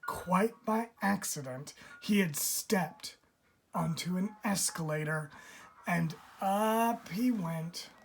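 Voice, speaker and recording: A woman reading a children's storybook aloud as a teacher, with animated, dramatic delivery and drawn-out key words. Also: fairly low pitch, gravelly, a little hiss or hum. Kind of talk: expressive children's storybook narration.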